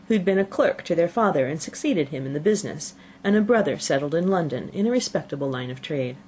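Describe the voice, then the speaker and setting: Female audiobook reader for classic fiction, slightly low voice, very animated delivery, faint hiss. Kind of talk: scripted narration of a classic novel.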